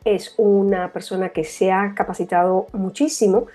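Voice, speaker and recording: A woman with a mellow, soft-edged voice at a middle pitch moderates a webinar, with music behind her. Her semi-formal introduction is hesitant, with repeated words. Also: fairly even intonation, normal speed.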